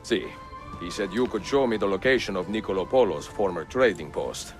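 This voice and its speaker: An actor playing a suave, confident older Italian adventurer in a game cutscene, with an Italian accent and measured delivery. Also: male voice, low-pitched, animated, big-room sound. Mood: polite. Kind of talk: dramatic video game cutscene acting. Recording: music behind the voice.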